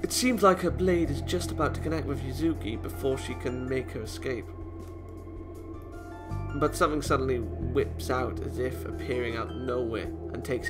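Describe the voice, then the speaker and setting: A man reading visual novel narration aloud expressively, sometimes stumbling and rereading phrases. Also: medium pitch.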